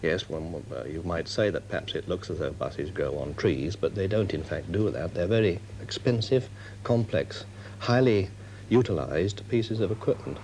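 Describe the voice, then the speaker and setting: A man's voice: low voice, expressive, normal speed, a little mellow, slight background noise. A British man giving considered, wordy and formal answers as an engineering director in an interview.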